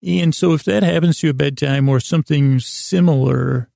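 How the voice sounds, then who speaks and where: A man hosting a bedtime podcast in a creaky, gravelly voice, giving a meandering, halting monologue full of false starts and tangents. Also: a little high, mellow, dry recording, clean studio sound. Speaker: host of a bedtime sleep podcast. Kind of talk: rambling, unhurried bedtime monologue.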